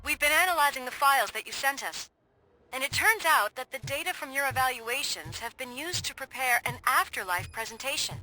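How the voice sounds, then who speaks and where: Bright, high-voiced female cop colleague. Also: expressive, fairly quick, slight background noise, full character voice, poor audio. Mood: eager, wry.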